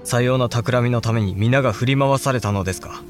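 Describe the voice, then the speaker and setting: A man's voice: mid-range voice, a little fast, faint music behind, natural voice. A male actor playing an earnest young samurai lord and new father, speaking formal, archaic Japanese in a historical audio drama. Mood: respectful, puzzled.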